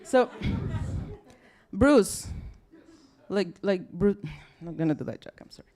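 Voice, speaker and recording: A woman's voice: mid-range voice, animated, slow, mellow. A young woman from Mexico with a Spanish accent, speaking English as a second language, giving a tired, chatty, apologetic talk to a live audience. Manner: chatty and apologetic, with many fillers and restarts. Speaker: guest speaker at a science talk night. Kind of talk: casual, self-deprecating live talk. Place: bar or event room with a live audience.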